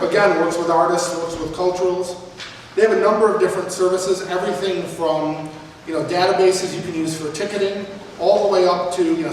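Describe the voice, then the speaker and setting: A man hosting an event, making announcements and introducing the speaker in a loose way, repeating words and saying "you know". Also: high-pitched. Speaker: event host. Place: event hall in an arts building. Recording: echoey hall, mic far off, background noise.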